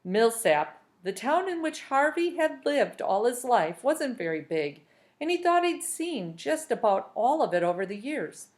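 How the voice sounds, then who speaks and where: A woman reading a storybook aloud as a teacher, in an expressive narrating style. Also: fairly high pitch, measured pace.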